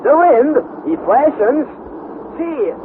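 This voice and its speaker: Excitable faithful guide, very high cries in short bursts, tinny old radio sound. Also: male voice, slow, exaggerated voice. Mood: excited. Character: excitable faithful male guide crying out at sea. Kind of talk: vintage radio drama acting. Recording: tinny, noisy vintage radio broadcast.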